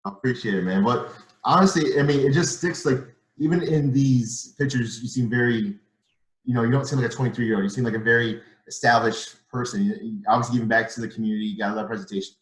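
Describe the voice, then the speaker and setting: A man's voice: mid-range voice, fast talker, roomy sound, low-quality recording. A young man hosting an online interview, asking casual, rambling questions with frequent hesitations. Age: young adult. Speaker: interviewer host.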